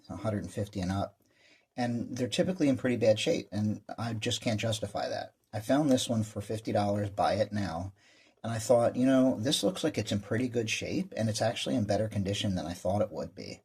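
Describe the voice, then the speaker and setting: Guy with a husky lowish voice casually walking thru some gear, plain spoken hobbyist type. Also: a little mellow. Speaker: radio hobbyist presenter. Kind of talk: casual product walkthrough.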